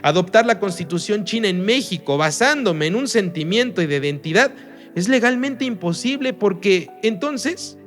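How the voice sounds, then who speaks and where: A man with a high, very animated voice gives a religious lesson. He speaks emphatically and keeps putting rhetorical questions to his students, with faint music under him. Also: moderate pace. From Mexico. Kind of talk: recorded online lesson.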